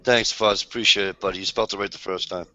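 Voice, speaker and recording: A man's voice: on the low side, fairly even intonation, fast talker, pushed and bright, dull audio. A man hosting a casual online group chat from home, joking, laughing often and swearing freely. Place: at home, wife in the back room.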